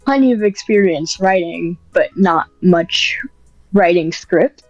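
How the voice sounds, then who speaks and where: A woman with a fairly low voice chats in a halting, filler-heavy way. The sound is muffled and dry, and faint music sits underneath. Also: no echo. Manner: hesitant, many fillers and restarts. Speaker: podcast guest, ASMR script writer. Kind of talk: casual interview chat.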